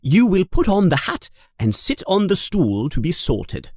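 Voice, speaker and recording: A man voicing a stern, formal older woman teacher giving brisk commands. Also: high-pitched, wildly swooping pitch, a little mellow, no echo, studio quality, voice pushed up, exaggerated voice. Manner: crisp commanding announcing. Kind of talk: storybook reading.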